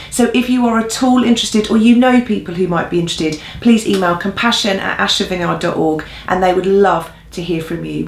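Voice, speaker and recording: Woman, earnest encouraging church co-host, noticeable vocal fry, echoey room. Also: on the low side, slight background noise. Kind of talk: informal church news update with appeals.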